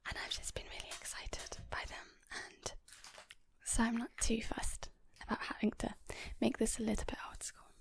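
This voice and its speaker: A woman whispering in a casual ASMR chat, gently rambling and unhurried, as host of her own video. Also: on the high side, flat delivery, vocal fry. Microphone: portable handheld audio recorder. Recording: close whispered recording on portable recorder.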